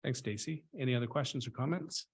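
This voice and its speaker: Man, meeting chair, reads motions briskly, medium pitch. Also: husky. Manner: brisk, procedural. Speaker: board chair.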